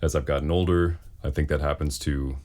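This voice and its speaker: A man speaks in a low, deep bass voice. He talks casually as a singer fielding questions, with a wry and self-deprecating sense of humor. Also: steady tone, brisk, a little mellow. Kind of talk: casual Q and A talk.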